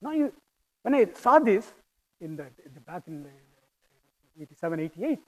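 A man's voice: high-pitched, very animated, a little mellow, rough recording. Indian-accented man giving a physics lecture. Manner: halting, thoughtful, with many fillers and restarts. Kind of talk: academic lecture.

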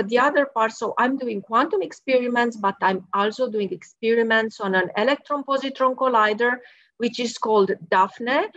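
A woman's voice: fairly high pitch, fairly bright tone, no room sound. A woman, an experimental physicist giving a friendly, explanatory talk over slides from her office, with wry asides. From Romania. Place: her office at work, in the evening. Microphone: video call. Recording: dull lo-fi video call audio.

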